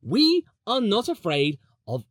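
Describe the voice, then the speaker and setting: Pompous, confrontational male official, acted by a man, deliberate and defiant, widely varied pitch, dry sound. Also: huge pitch swings, fairly bright tone, no room sound, heavily acted. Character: self-important, confrontational male sports official.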